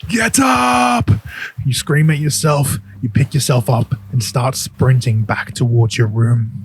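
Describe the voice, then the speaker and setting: A man's voice: mid-range voice, measured pace, a little mellow. A man narrating a scripted audio drama in the second person, telling the listener what they do and feel. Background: music.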